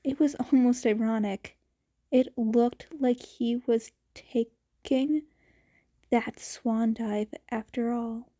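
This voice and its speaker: Lady reading fanfic out loud, kinda high voice, takes her time. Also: steady tone, slow. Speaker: fanfiction reader.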